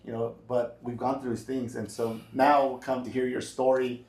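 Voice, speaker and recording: Lively male podcast host in an echoey room. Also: mid-range voice, normal speed. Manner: energetic, loose, lots of "man" and "right?". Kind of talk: casual interview chat.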